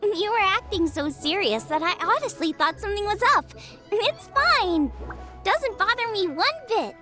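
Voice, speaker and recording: A woman's voice: very high-pitched, lively intonation, normal speed, soft background music, heavily acted. A woman voicing a cheerful, kind young girl chef in a video game, bubbly and earnestly encouraging. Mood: easygoing. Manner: bubbly, warm, earnest reassurance. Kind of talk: animated video game voice acting.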